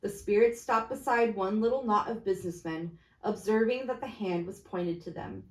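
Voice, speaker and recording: A woman's voice: medium pitch, moderate pace, a little mellow. A woman reading classic fiction aloud as an amateur storyteller, stumbling now and then over her words. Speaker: storybook reader. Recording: echoey, roomy sound.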